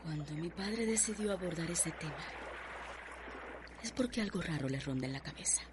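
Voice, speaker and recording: A Cuban actress playing a proud, restless young heiress in a radio drama, haughty and measured. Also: female voice, on the low side, lively intonation, noisy background, natural voice. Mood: brooding.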